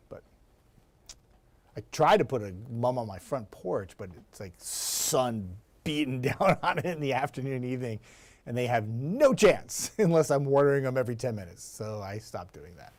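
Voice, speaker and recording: A man with a raspy voice, a TV meteorologist chatting casually and rambling to viewers. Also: medium pitch, big pitch swings, a little fast. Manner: chatty, rambling, laughs easily.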